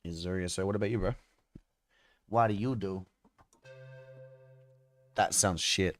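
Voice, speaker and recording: A man's voice: low-pitched, steady tone, measured pace, faint music behind. A young Australian man chatting casually with viewers on a live stream, slangy and easygoing, swearing and calling everyone "bro". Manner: laid-back slangy talk, swears, says "bro" a lot. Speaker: streamer and beat maker. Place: home studio room indoors.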